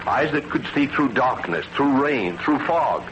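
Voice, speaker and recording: A man's voice: mid-range voice, animated, a little slow, muffled recording, a little hiss or hum, lightly acted. Guy voicing a curious navy radio scientist, old radio play, musing and a bit absent-minded. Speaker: narrator and lead character. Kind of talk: 1940s radio drama acting. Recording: old radio broadcast with loud music underneath.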